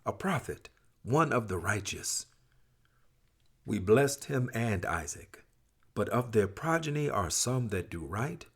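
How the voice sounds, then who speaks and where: Husky low-voiced man reading scripture. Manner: measured, expressive, formal archaic diction. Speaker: scripture reader. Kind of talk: solemn dramatic scripture reading.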